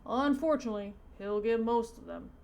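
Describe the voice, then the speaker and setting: Clear noise sits behind a woman reading as a comic-strip pastor. He explains things solemnly and instructively, as if teaching a child. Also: on the high side, fairly quick, darkish tone, lightly acted. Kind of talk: reading comic dialogue aloud. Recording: noticeable background noise.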